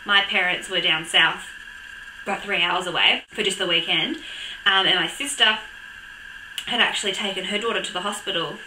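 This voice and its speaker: Croaky young woman chatting. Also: mid-range voice, fairly even intonation, moderate pace, pushed and bright, roomy sound, a little hiss or hum, poor audio. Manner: chatty, lots of ums and laughs. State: croaky voice from a cold. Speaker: vlogger. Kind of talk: casual chat.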